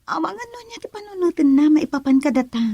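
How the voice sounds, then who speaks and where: A woman's voice: sits high, expressive, fast, dark tone, no room sound, lo-fi sound. An actress playing a shy young woman being courted, bashful and hesitant, with an Ilocano Filipino accent in a radio drama. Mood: bashful, doubtful.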